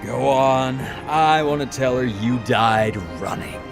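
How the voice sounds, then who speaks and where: Man playing a cruel, slow, mocking hunter god. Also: mid-range voice, vocal fry. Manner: taunting, drawn-out and theatrical. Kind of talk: audio drama acting. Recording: music bed behind voice.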